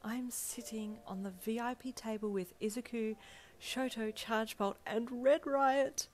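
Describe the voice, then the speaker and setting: A woman voicing a starstruck, excitable young woman in a story, with a breathy delivery. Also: fairly high pitch, fast talker, pitched above the performer's own voice, lightly acted. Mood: awed. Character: starstruck, giddy young woman, hero's girlfriend.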